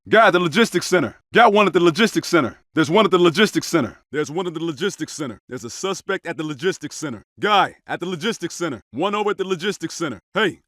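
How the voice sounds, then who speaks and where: An actor playing an undercover cop in a video game, giving short, clipped tactical callouts. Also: male voice, sits high, lively intonation, fast, few pauses, a bit bright, no echo, studio quality. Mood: low, guarded. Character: gruff, alert male undercover cop in gunfight. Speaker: video game player character.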